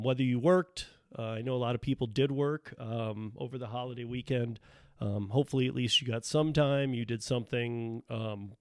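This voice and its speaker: A man with a mid-pitched voice, a bit hoarse, hosting a casual solo podcast. He rambles, restarts his sentences and drops plenty of ums. The sound is close and dry, without room echo. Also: warm tone. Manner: rambling, many fillers and restarts. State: losing his voice a little. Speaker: podcast host. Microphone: podcast microphone.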